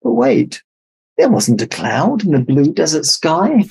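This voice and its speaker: A man narrating a children's story aloud as an expressive storybook reader. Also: on the high side, a little slow, creaky, slightly rough. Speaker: storybook reader in Santa persona.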